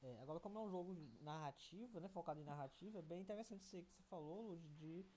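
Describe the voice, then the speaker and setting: Low-voiced woman in casual discussion. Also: very fast, dark tone, a little hiss or hum. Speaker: participant in an online discussion.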